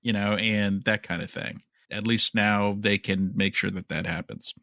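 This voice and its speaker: A man's voice: on the low side, steady tone, moderate pace, creaky, bright, forceful tone, no echo, clean studio sound. A man co-hosting a podcast, chatting casually and offering his opinions in a conversational way. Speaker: podcast co-host. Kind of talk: casual chat about entertainment news.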